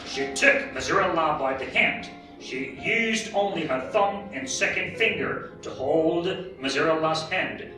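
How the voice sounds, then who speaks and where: A woman narrating a scripted readers theatre story in a measured, deliberate manner. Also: low voice, expressive. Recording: very echoey hall, distant mic, music behind.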